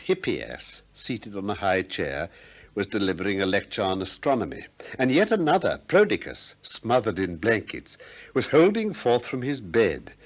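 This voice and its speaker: An actor playing a probing, gently ironic Greek philosopher who also narrates, in a 1960s radio play. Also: male voice, on the low side. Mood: wry narration. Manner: questioning, gently ironic, deliberate.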